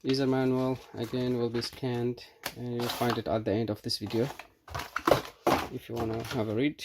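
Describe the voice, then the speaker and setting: A man's voice: mid-range voice, level tone, normal speed. A man giving a casual, unscripted product demonstration as a reviewer, hesitant and thinking aloud as he goes. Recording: clearly noisy background with clicks.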